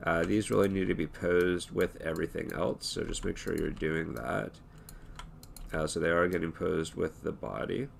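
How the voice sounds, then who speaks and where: A hoarse man talking through an animation tutorial in a relaxed, thinking-aloud way, with many fillers and restarts. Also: sits low, normal speed, slight background noise. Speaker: animation instructor. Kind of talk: screen-recorded tutorial talk.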